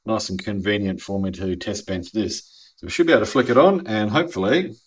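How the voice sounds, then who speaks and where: Deep-voiced Australian man reviewing gear. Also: fairly quick, breathy edge. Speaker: product reviewer. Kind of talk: casual unboxing and review commentary.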